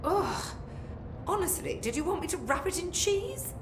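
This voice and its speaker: Haughty scientist, swooping delivery. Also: female voice, very high voice, big pitch swings, moderate pace. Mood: exasperated. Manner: precise, pompous, dryly exasperated. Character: haughty, brusque, fastidious female academic scientist. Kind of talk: video game dialogue acting. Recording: roomy echo with background noise.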